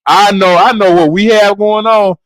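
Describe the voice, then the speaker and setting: Deep-voiced male co-host, earnest, repeats and stumbles, dry close mic. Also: steady tone, moderate pace, fairly bright tone, no room sound, low-quality recording. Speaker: podcast co-host. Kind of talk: casual podcast chat.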